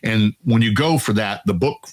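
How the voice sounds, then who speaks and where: A man in his fifties with a deep, resonant voice, a veteran guest telling stories in a relaxed, chatty way. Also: moderate pace. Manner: relaxed, chatty, quotes himself and laughs easily. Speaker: Air Force veteran guest. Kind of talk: casual storytelling interview.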